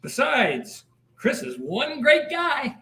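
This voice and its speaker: A man's voice: very high-pitched, huge pitch swings, slow, bright, forceful tone, full character voice. A man playing a jovial small-town mail carrier in a sitcom table read, cheery, exclamatory and teasing. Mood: breezy, jovial.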